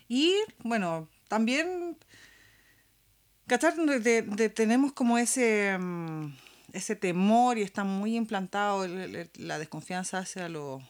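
A woman's voice: mid-range voice, animated, slow. A woman with a Chilean accent co-hosting a casual political discussion, speaking colloquially and repeating words for emphasis. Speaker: podcast co-host.

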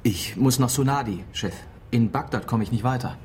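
A man's voice: mid-range voice, normal speed, background noise, natural voice. A man playing a young, curious and eager newspaper reporter in a radio drama.

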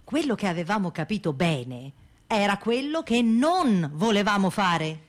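A woman's voice: mid-range voice, big pitch swings, a little slow. A woman performing scripted theatrical recollections for a radio programme. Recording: faint music behind the voice.

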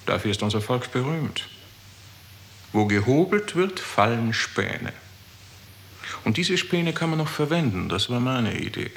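A smug, cold male official in an old radio drama. He speaks very slowly in a lowish, gravelly voice, deliberate and courteous. Faint hiss lies under the recording. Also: fairly bright tone, heavily acted. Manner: deliberate, self-satisfied, coldly courteous. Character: smug, cold male chief of a death bureaucracy. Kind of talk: 1960s German radio play acting.

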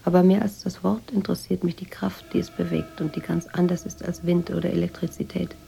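Gravelly, confiding troubled woman writer. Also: on the low side, little pitch movement, mellow. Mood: detached, dreamy. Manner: measured, confiding, literary. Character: troubled, introspective American woman writer in Tangier. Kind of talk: radio drama monologue.

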